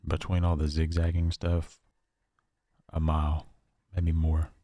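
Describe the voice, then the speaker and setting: A man playing a shaken, paranoid survivor recording a horror audio log, speaking haltingly with long pauses. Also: very deep voice, monotone, mellow, no echo. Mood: weary, reflective. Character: shaken, paranoid male survivor of a nightmare realm.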